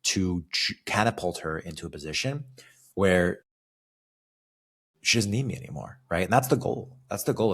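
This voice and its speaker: A man's voice: sits low, creaky, a bit bright, breathy edge. A man from California, a fitness coach hosting a solo podcast, talking casually and often tagging his sentences with "right?". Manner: conversational, ends many phrases with a questioning "right?". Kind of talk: solo motivational podcast monologue.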